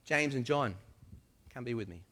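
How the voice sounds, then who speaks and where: A man voicing a calm, gentle man who calls followers to join him, speaking softly and patiently in a dramatic monologue. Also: mid-range voice, normal speed, long reverb tail. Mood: summoning. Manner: soft, patient, reassuring. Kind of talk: dramatic first-person monologue.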